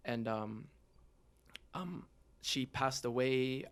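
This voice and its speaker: A man's voice: medium pitch, very slow, clear, resonant tone. Earnest young man, candid interview talk. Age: 20s. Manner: earnest, heartfelt. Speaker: podcast guest, rapper.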